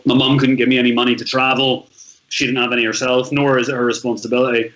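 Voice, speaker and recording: A man's voice: mid-range voice, level tone, fast, a bit bright, dull audio. Chatty Northern Irish man in his thirties. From Northern Ireland. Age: 30s. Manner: chatty, rambling, frequent restarts. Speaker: podcast guest, travel blogger. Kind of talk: casual storytelling interview.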